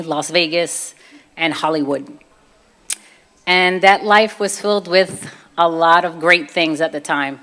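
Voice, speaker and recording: A woman speaker with a fairly low voice and a Brooklyn accent. She tells her own story to listeners in an informal, conversational way, voicing bits of dialogue as she goes. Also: fairly bright tone. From Brooklyn, New York, USA. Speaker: guest speaker telling personal story. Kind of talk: informal public personal testimony talk.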